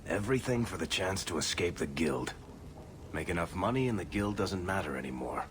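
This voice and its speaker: A man's voice: low-pitched, animated, a little fast, noisy background. A man voicing a wry, loyal dwarf rogue and storyteller in a video game cutscene, with a dry, sardonic manner. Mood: wry, musing.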